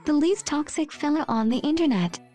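A woman narrates short scripted captions in a voice-over. Her voice sits high, with a soft, mellow tone that has little edge to it. Faint music plays behind her. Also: expressive, fairly quick. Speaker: meme video narrator. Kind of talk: scripted voice-over reading memes aloud.

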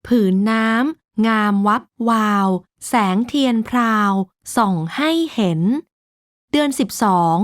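A woman narrating a rhyming children's storybook in a slow, measured, sing-song way. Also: on the high side, dry recording, studio quality.

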